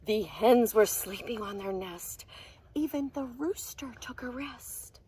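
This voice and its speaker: A woman reading a picture book aloud to preschoolers as their teacher, expressive and sing-song, often hushed, pausing to explain words and ask questions. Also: fairly high pitch, breathy edge. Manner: animated, asks questions and explains words to children.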